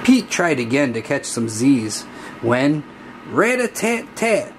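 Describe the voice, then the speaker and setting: A man reading a children's story aloud in a deliberate, singsong storybook manner. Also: mid-range voice, sing-song, background noise. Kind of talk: scripted children's read-aloud narration.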